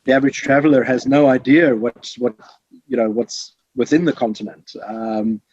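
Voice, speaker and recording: A man's voice: mid-range voice, normal speed, darkish tone, slightly rough, dry recording. A man with a South African accent chatting as an interview guest, often repeating words and phrases, over slightly muffled call audio. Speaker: podcast guest, safari lodge sales representative. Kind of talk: casual conversational interview. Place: home office in Johannesburg, remote call.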